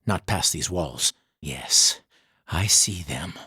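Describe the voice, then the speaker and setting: An actor playing a proud, devout and haunted mercenary commander in a fantasy video game, speaking in a grave, formal, accusatory manner. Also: male voice, very deep voice, animated, takes it slowly, pushed and bright, husky, no room sound, clean studio sound, full character voice. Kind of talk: dramatic fantasy game voice acting.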